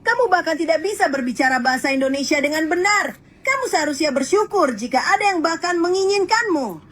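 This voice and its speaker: A woman's voice: extremely high pitch, lively intonation, fairly quick, fairly bright tone. A woman voicing a bossy, mocking language-learning app that scolds and insults its student. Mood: scornful.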